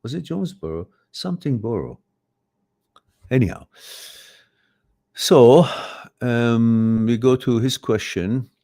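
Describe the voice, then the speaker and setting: A man's voice: medium pitch, expressive, slow pace, long pauses, mellow. A middle-aged man, a Farsi speaker, hosting a live advice stream and reading out viewer questions, rambling and often correcting himself. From Iran. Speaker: host.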